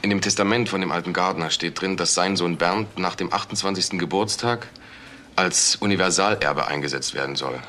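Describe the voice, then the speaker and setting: An actor playing a sceptical, matter-of-fact police investigator in a German crime radio drama. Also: male voice, low voice, fairly quick, sharp tone, a little hiss or hum.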